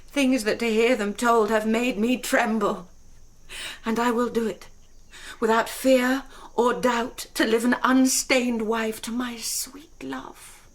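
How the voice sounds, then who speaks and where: Young noble girl, British, fairly high voice, slow delivery, passionate, intense Shakespearean radio drama. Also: little pitch movement. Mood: fearful defiance. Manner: intense, dramatic, sometimes breaking into whispers. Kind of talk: classical Shakespearean radio acting.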